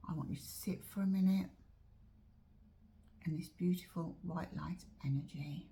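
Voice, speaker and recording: A woman guiding a meditation in a soft, often whispered voice, slow and drawn-out, a little chesty as she is losing her voice. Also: deep voice, vocal fry. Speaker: meditation teacher. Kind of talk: guided meditation.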